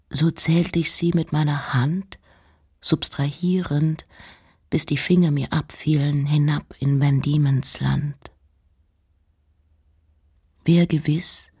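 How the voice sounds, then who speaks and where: An actress playing an intense, eccentric nineteenth-century woman poet, reciting in a literary radio play with deliberate, theatrical phrasing and pauses. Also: sits low, darkish tone, husky, exaggerated voice. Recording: music behind the voice.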